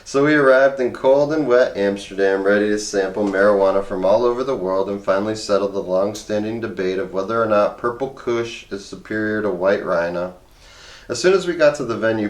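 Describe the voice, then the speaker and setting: A man reading a comic memoir aloud in a wry, conversational audiobook style. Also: lowish voice. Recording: roomy, echoey voice recording.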